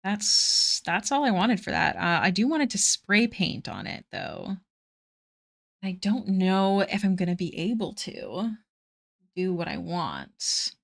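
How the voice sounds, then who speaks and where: A woman's voice: mid-range voice, expressive, slow pace, gravelly. Young woman streamer, giggly and self-mocking, casual chat while drawing, mid-range voice. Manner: giggly, self-deprecating, chatting to viewers. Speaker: artist streaming live.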